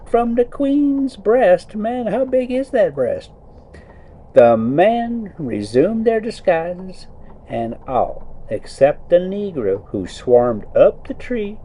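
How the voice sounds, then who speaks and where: A man's voice: high-pitched, mellow, low-quality recording. A man reading a story aloud in a folksy, theatrical way, with casual colloquial asides, while driving a motorhome. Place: inside a motorhome being driven.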